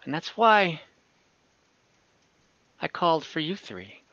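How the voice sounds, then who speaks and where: Guy roleplaying a scheming old-hand adventurer, earnest n ominous, bright voice, dull call audio. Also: fairly high pitch, very animated, slow pace, no room sound, full character voice. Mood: confiding. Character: weary scheming adventurer recruiting warriors for a divine war. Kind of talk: in-character tabletop roleplay. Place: room at home, on a group video call.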